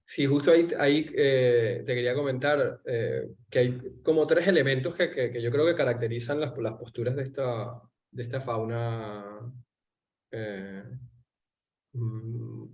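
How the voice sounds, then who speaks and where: Venezuelan man interviewing, measured and dull-sounding. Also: medium pitch, darkish tone. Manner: measured, searching for words. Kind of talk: political interview conversation.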